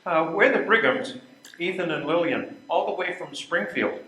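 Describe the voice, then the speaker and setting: A man's voice: on the high side, expressive, moderate pace, fairly bright tone. An older man playing a proud, work-absorbed husband in amateur readers theater, speaking somewhat formally. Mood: observant. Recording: echoey, noisy room recording.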